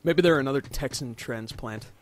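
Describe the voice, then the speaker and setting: A man's voice: medium pitch, normal speed, a little hiss or hum. A man co-commentating on a video game in a casual, joking way, with dry wisecracks. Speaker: let's play co-commentator.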